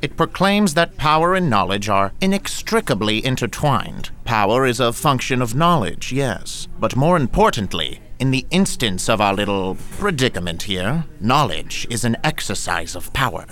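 A man's voice: medium pitch, very animated, normal speed, hardly any pauses, fairly bright tone. An actor playing a pompous, grandiose male academic who steals books, lecturing and rambling in an audio drama.

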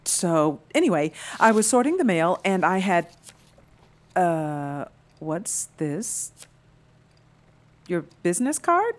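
A small-town woman in an audio drama, talkative and patient with a gently wry edge. Her voice sits a little low and sounds natural and conversational. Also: sing-song, takes it slowly, pauses often. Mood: friendly, tentative.

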